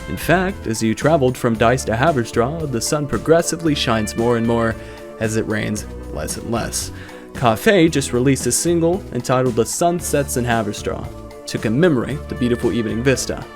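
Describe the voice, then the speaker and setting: A man playing a smooth radio DJ who talks to a city's night-time listeners in a vintage late-night patter. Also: medium pitch, close to an everyday voice.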